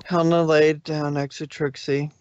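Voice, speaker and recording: Higher-pitched man narrating, dry and dull. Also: level tone, normal speed, a little mellow, smooth, no room sound. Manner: stumbles over words while reading. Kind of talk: amateur story narration.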